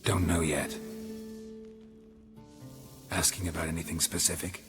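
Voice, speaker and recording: An actor playing a weathered, stoic monster hunter in a video game, terse and wry. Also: male voice, low-pitched, measured pace, music in the background. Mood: calm, reflective. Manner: deadpan, terse.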